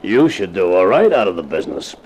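Low-voiced, needling police lieutenant. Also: male voice, very animated, brisk, a little mellow. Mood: suspicious, needling. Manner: probing, sarcastic, insinuating. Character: suspicious, needling hard-boiled male police lieutenant. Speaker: actor playing police lieutenant. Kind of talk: 1950s radio crime drama acting. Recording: noisy old 1950s radio recording.

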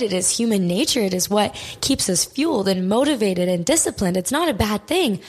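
Hoarse, raspy young woman talking gratitude. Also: mid-range voice. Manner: emphatic, swears often, repeats for stress. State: hoarse from crying. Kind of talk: motivational talk to camera.

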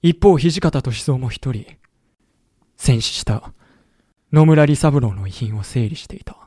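A man acting a loyal deputy leader who narrates a historical war audio drama in a deliberate storytelling delivery. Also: mid-range voice, lively intonation, warm tone, breathy edge, no room sound, clean studio sound. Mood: sombre narration. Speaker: narrator and character.

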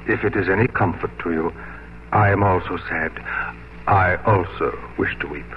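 A man's voice: low-pitched, fairly even intonation, lightly acted. An actor playing a regretful, formal aristocratic English father in an old-time radio drama. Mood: regretful, sad. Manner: formal, measured, melancholy. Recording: muffled vintage radio broadcast, faint noise.

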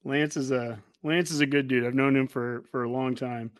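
A man's voice: medium pitch, steady tone, moderate pace, no room sound, studio quality. A man with an American accent hosting a podcast in a casual, conversational way. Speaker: podcast host.

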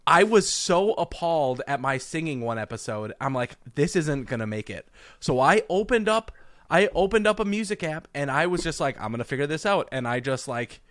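A man's voice: a little high, lively intonation, fairly quick, a bit bright, dry recording, clean studio sound. A man co-hosting a podcast in casual chat, teasing and joking with his guests. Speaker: podcast co-host.

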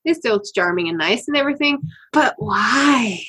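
A woman's voice: fairly high pitch, expressive, moderate pace, sharp tone, breathy edge. Chatty woman from Austria, laughing often. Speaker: podcast co-host. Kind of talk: casual news chat.

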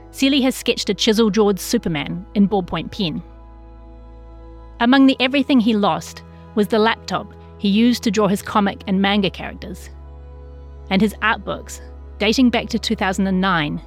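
New Zealand woman narrating a news feature. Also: mid-range voice. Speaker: journalist narrating a feature story. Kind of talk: scripted narration of a written news feature, reading quotes.

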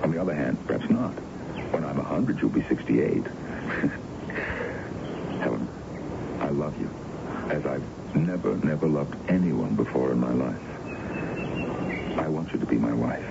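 A man's voice: extremely low pitch, expressive, a little slow, creaky, pauses often, heavily acted. An actor playing an ardent, world-weary older man wooing his love in a theatrical radio drama style. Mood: earnest, loving. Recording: muffled, noisy old radio broadcast.

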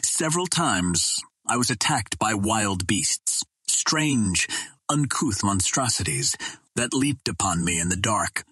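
A man narrating an adventure novel in a deliberate, formal, dramatic storytelling manner. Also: fairly low pitch, sharp tone, slightly rough, no echo. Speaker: audiobook narrator.

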